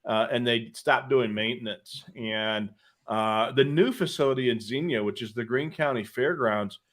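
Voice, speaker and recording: A man with a middle-pitched voice chats as co-host of a live hobby show. He is casual and opinionated, adding asides as he explains. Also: normal speed, a bit bright. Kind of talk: casual live-stream chat.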